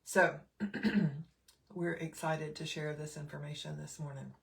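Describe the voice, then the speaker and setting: A middle-aged woman hosts a casual live stream, her throat still a little scratchy. She talks in a fairly low, relaxed voice, chatting along with plenty of fillers and pausing to greet viewers by name. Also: creaky. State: slightly scratchy throat, clearing it often. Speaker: nurse and health educator hosting a live stream.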